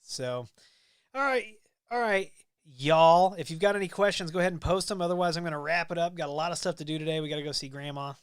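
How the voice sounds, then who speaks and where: A man's voice: a little high, fast, clear, resonant tone, dry recording, clean studio sound. A Midwestern man hosting a casual live stream, reading viewer comments and rambling easily with frequent ums and restarts. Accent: Midwestern American. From American Midwest. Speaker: livestream host.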